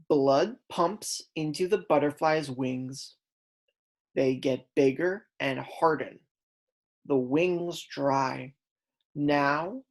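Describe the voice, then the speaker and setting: Low-voiced woman reading slowly to young children. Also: darkish tone. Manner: deliberate, instructional, stressing key words. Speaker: kindergarten teacher reading aloud. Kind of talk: read-aloud lesson for young children.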